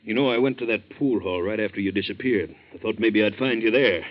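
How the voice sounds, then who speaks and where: An actor playing a blunt, suspicious but loyal fishing-boat captain with plain slangy speech in 1940s radio style. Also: male voice, lowish voice, brisk, lightly acted. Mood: wary. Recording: muffled 1940s radio recording.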